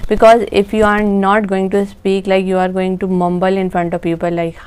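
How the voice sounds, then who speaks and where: A young woman with an Urdu accent, a native Urdu speaker, giving a casual spoken lesson in a halting way, often searching for words. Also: mid-range voice, flat delivery, a little mellow, smooth. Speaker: English-speaking tips presenter.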